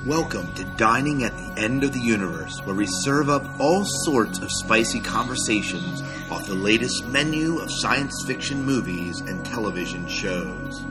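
A male announcer with a lowish voice delivers a scripted podcast introduction. Music plays loudly behind him. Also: lively intonation, normal speed, few pauses, low-quality recording. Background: loud music.

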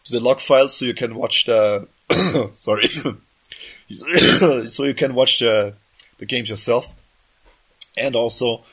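A man's voice: medium pitch, slow, long pauses, a bit bright, breathy edge. Coughing man hosting a podcast. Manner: rambling, often restarts and corrects himself. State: sick, coughing, voice just back. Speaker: podcast host. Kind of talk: casual podcast chat.

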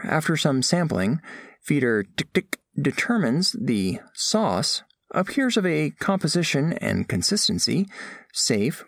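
Formal alien scholar, lively creaky delivery. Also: male voice, medium pitch, no echo, studio quality, exaggerated voice. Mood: earnest, formal. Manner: formal, precise, literal wording. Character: earnest, formal alien scholar on a diplomatic mission. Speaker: narrator of an audio drama. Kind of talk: scripted first-person narration.

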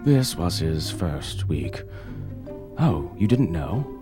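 A man playing an unctuous, over-polite male executive, low-voiced. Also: very animated, slow, heavily acted. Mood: unctuous apology. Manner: unctuous, chatty, over-polite salesman patter. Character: smooth, effusively apologetic male corporate vice president. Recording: music bed under the voice.

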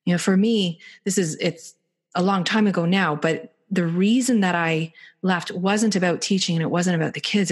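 A woman from Canada with a very low voice full of gravel. She sounds husky and talks reflectively, searching for words with frequent fillers. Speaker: podcast co-host. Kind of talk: casual podcast conversation.

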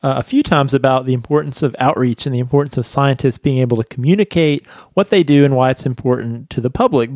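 A man's voice: mid-range voice, darkish tone, dry recording, clean studio sound. A man co-hosting a casual science podcast, talking in an easygoing way and chuckling often. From grew up fishing rivers. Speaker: podcast co-host. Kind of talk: casual chat.

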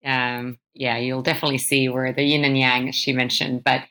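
A woman from Finland introducing herself casually as a startup founder, reflective and halting as she searches for words. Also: extremely low pitch, little pitch movement, bright, forceful tone. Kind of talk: casual spoken self-introduction.

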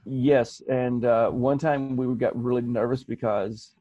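An American man co-hosting a podcast in casual chat, halting with many restarts and fillers. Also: medium pitch, steady tone, dark tone, no room sound, dull audio. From United States. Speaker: podcast co-host.

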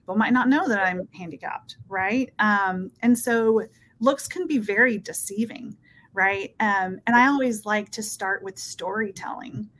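A woman's voice: medium pitch, unhurried, a bit bright. A woman in her thirties from Peru, talking earnestly as an interview guest and often checking in with "right?". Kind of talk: casual interview talk.

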